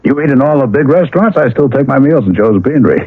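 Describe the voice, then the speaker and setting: An actor playing a down-to-earth struggling painter and old friend in a 1970s radio drama, plain and colloquial. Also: male voice, medium pitch, expressive, brisk, husky. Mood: evasive, offhand. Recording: muffled, lo-fi old radio broadcast.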